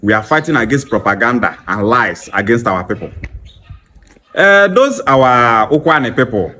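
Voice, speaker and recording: A man's voice: medium pitch, sing-song, moderate pace, pushed and bright, a little hiss or hum. A man with a Nigerian Igbo accent, a native Igbo speaker, speaking emphatically and insistently in a repetitive, impassioned monologue to an audience. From Nigeria, Igboland. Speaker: online commentator. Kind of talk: impassioned monologue to an audience, switching between English, Igbo and Pidgin.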